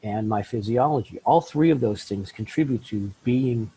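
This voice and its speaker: A middle-aged man, a life coach, telling his story in an interview, often jumping into lively quoted voices. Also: moderate pace, slightly rough, poor audio. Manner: acts out quoted voices with big pitch jumps. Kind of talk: animated storytelling in a podcast interview.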